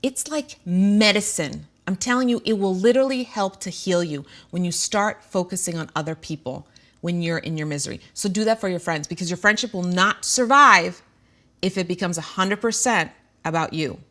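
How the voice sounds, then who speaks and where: A woman's voice: lowish voice, moderate pace, a bit bright. Earnest woman sharing a candid personal story. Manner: earnest and emotional, candid, with frequent fillers. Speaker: show host. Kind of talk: candid personal talk to viewers.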